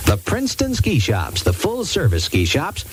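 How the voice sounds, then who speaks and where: A man's voice: on the high side, huge pitch swings, moderate pace. An American man working as a radio DJ, delivering 1980s music radio patter and ad reads on an old aircheck.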